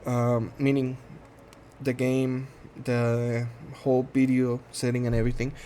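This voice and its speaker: A man in his thirties from Guatemala, speaking English with a Guatemalan Spanish accent, introducing himself in a shy, halting way full of ums and restarts. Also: mid-range voice, monotone, a little hiss or hum. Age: 30s. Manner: shy, soft-spoken, earnest. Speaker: gaming channel host. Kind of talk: casual self-introduction while playing a game.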